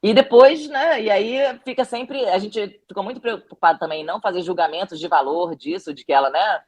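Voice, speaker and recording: A woman's voice: mid-range voice, brisk, a little mellow, husky. Brazilian woman telling a story reflectively. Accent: Brazilian Portuguese. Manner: reflective storytelling with frequent restarts. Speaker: documentary director. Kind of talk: podcast interview answers.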